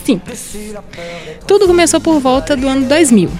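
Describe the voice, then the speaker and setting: A woman's voice: high-pitched, animated, moderate pace, music in the background. A woman with a Brazilian Portuguese accent co-presenting a radio show in a playful, chatty way with jokey asides. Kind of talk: casual radio chat.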